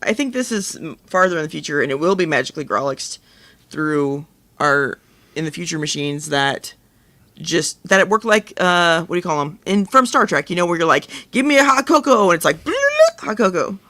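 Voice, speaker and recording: A woman's voice: deep voice, expressive, moderate pace, a bit bright, no echo. A woman co-hosting a podcast in a casual, conversational style. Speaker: podcast co-host. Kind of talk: casual chat.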